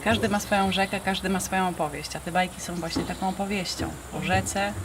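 A woman's voice: mid-range voice, fairly even intonation, brisk. A woman talking casually in an interview about her work as a performer and writer. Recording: noisy, insects and outdoor ambience.

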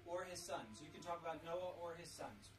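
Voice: mid-range voice, lively intonation, normal speed. A parent leads a family Bible lesson in an instructive, quizzing manner, often repeating answers back. The voice is picked up from a little distance, with faint noise behind it. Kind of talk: teaching children with questions. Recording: distant mic with light background noise.

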